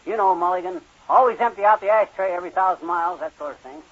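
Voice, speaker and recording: An actor playing a stubborn, folksy, penny-pinching husband in an old-time radio comedy, blustering and arguing. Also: male voice, high-pitched, fast talker. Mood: loud, eager, indignant. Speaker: comic lead in a radio sitcom. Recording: dull vintage radio recording.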